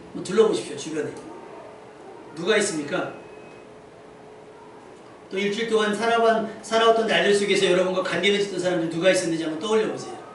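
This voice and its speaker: A man's voice: sits high, fast, pauses often. A male pastor preaching a Sunday sermon to a congregation, posing rhetorical questions and repeating key phrases for emphasis. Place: indoor church service. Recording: very echoey church hall, distant mic, faint noise.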